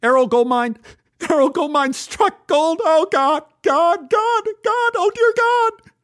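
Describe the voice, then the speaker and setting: A man playing an excitable American overwhelmed by a windfall, exclaiming and repeating himself in a story. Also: extremely high pitch, takes it slowly, voice pushed up, full character voice. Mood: overwhelmed, elated. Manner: breathless repetition, exclaiming.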